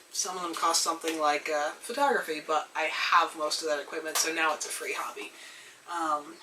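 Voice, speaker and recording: A young woman with a bright, sharp voice, hoarse from a cold. She talks in a thoughtful, plainspoken way with lots of ums, recorded a little way from the camera with faint background hiss. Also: on the low side, distant mic, poor audio. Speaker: vlogger. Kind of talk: reflective casual talk to camera. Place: tiny house.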